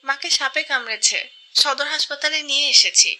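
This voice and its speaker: A woman's voice: sits high, moderate pace, bright, forceful tone, low-quality recording. A woman voicing a distressed younger neighbour in a horror cartoon, crying as she speaks on the phone. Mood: tearful, distressed. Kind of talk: scripted cartoon voice acting.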